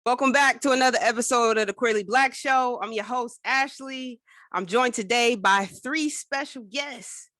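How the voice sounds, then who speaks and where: A woman hosting an interview podcast, talking in an upbeat, casual and lively way. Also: fairly high pitch, normal speed, no echo, clean studio sound. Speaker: podcast host. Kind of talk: conversational interview.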